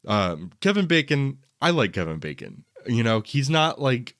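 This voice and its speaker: A man's voice: mid-range voice, big pitch swings, normal speed, fairly bright tone, dry recording, studio quality. A man co-hosting a film podcast in a casual, conversational and rambling way.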